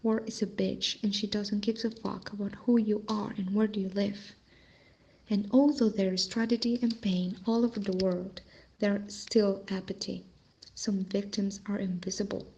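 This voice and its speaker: A woman recites slam poetry in a raspy voice with a creaky edge. Her delivery is earnest and emphatic, leaning on repetition while the pitch stays fairly level. The recording is somewhat dull and lo-fi. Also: mellow. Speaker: slam poet.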